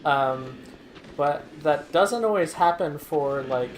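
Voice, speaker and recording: A man's voice: a little high, lively intonation, slow pace. A man hosting a let's play, chatting casually and rambling through his thoughts with lots of fillers. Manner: rambling, many fillers and restarts. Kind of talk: casual gaming chat. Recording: roomy mic with audible noise.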